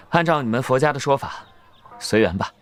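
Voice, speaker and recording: An actor playing a guarded, restless young Taoist wanderer in an audio drama, direct and brusque. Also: male voice, mid-range voice, expressive, a little slow, fairly bright tone. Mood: wry, casual.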